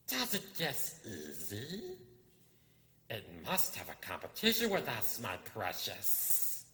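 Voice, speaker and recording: A man's voice: fairly low pitch, huge pitch swings, sharp tone, exaggerated voice. A man voicing a wretched, sneaky cave creature in a storybook reading, hissing and spluttering as he draws out his words. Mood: sly, menacing. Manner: slow, drawn-out muttering with sudden shrieks. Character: wretched, sneaky, hungry cave-dwelling creature, lonely and nasty. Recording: cavernous hall reverb.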